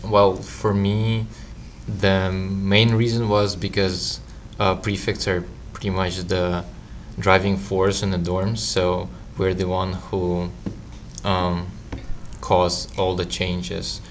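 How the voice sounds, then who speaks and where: A teenage student from Ukraine answering interview questions in a measured way, with many ums and uhs. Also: male voice, deep voice, completely flat delivery, a little hiss or hum. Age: teens. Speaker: student guest.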